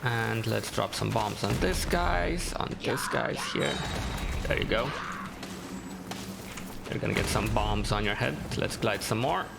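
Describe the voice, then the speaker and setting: A man's voice: on the low side, unhurried, gravelly. A man giving casual, easygoing let's play commentary as a streamer, talking to himself over game sound. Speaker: gaming streamer. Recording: game sound and noise behind.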